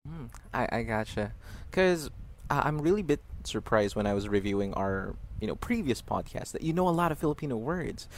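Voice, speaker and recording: A man's voice: medium pitch, expressive, fairly quick, slight background noise. A man hosting a casual podcast chat, laughing often and slipping into playful quoted asides. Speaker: podcast host.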